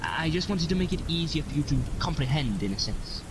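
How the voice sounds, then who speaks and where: A performer playing an enigmatic, guilty time-travelling mentor in an audio drama, hesitant, measured and placating. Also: mid-range voice, big pitch swings, noisy background, lightly acted. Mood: apologetic, evasive.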